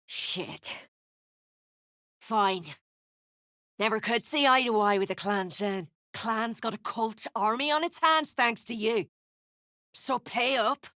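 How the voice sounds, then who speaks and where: A woman's voice: fairly high pitch, sharp tone, dry recording. Female duergar sellsword, video game, greedy, coarse, blunt menace, piercing edge, very dry close recording. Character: tough, foul-mouthed, greedy female duergar mercenary. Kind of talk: video game character dialogue.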